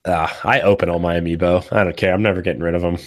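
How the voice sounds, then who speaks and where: A young man with a cold-hoarse voice hosting a casual gaming podcast, rambling and restarting his thoughts, recorded on a studio mic at home. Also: sits low, steady tone, fast talker. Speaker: podcast host. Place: small home studio with green backdrop. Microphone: studio condenser mic on boom arm.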